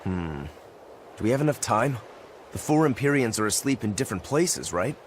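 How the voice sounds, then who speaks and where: A man's voice: mid-range voice, big pitch swings, moderate pace, slight background noise, lightly acted. An actor playing a casual, wry warrior companion in a video game, laid-back in his phrasing.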